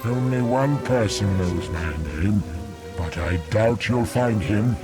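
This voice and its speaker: An enchanted stone door from a fantasy game. The voice is low and unhurried, dry and unimpressed, grudging in every phrase, with music and rain behind it. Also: male voice. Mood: smug, aloof. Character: magical talking stone door, male, smug and grudging. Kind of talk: video game character dialogue.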